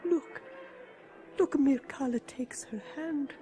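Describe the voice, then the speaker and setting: Charming posh-party lady in radio play, gushy, unhurried, clear music behind. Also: high-pitched. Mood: delighted. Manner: gushing, theatrical, coaxing. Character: charming, effusive society lady at a ball. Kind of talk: 1980s radio drama acting.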